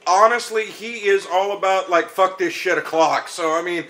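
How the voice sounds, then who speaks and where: Loud, boisterous man, high bright voice. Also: moderate pace. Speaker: podcast guest. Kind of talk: profane casual banter.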